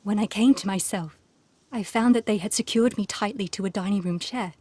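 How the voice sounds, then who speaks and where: Actress as genteel, unhappy widow, dry sound. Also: female voice, medium pitch, a little fast, mellow, husky, no room sound, natural voice. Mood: shaken, recounting. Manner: formal, refined, plaintive. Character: genteel, unhappy aristocratic Victorian wife, newly widowed. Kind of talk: video game cutscene acting.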